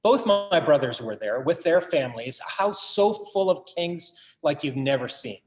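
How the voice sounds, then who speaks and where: An actor in a staged reading, playing a bereaved writer son who tells his family story in a measured, literary, wry way. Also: fairly high pitch, lively intonation, close to an everyday voice. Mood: somber, reflective. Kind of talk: dramatic monologue in a staged reading.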